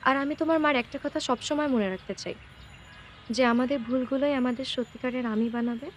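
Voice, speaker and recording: A young Bangladeshi woman, played by an actress with a high-pitched voice, gentle but resolute. She speaks earnestly in naturalistic film dialogue, slipping in English words, over faint outdoor noise. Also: fast talker, close to an everyday voice. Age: young adult.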